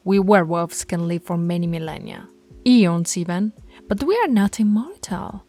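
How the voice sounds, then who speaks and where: A woman's voice: lowish voice, animated, normal speed, warm tone, soft background music. A woman voicing a stern, teasing alpha werewolf pack leader in an audio roleplay, authoritative and taunting. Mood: stern, scolding.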